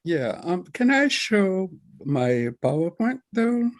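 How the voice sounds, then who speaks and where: A man speaking slowly and thoughtfully at a raised pitch, pausing often on fillers as he gives a talk over a video call. His first language is Kabye. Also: big pitch swings. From northern Togo. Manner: slow, reflective, halting with fillers. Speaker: librarian presenter. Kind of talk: virtual meeting talk.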